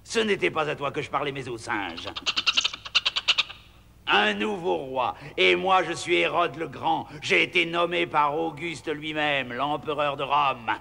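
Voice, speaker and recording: Arrogant cartoon king, really gravelly, sneering, big echoey hall. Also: a little high, measured pace, sharp tone, exaggerated voice. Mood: scornful. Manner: imperious, contemptuous. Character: arrogant, scornful, suspicious king. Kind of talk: French cartoon dub acting. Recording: long hall reverb.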